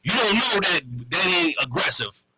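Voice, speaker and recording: A man's voice: high-pitched, very animated, normal speed, over a noisy crowd. A man giving opinions in a casual panel talk, emphatic, streetwise and profane. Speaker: panel guest.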